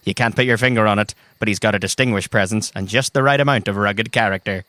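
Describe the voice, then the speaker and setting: A man's voice: on the low side, fast talker, sharp tone, no room sound. A man narrates a tabletop adventure dramatically in the second person as game master. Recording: music bed behind the voice. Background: background music.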